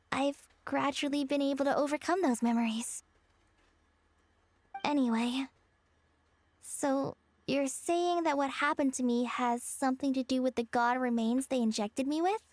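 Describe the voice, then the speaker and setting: A woman voicing a gentle, earnest young girl in an anime-style game, sincere and a little hesitant. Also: high voice, natural voice.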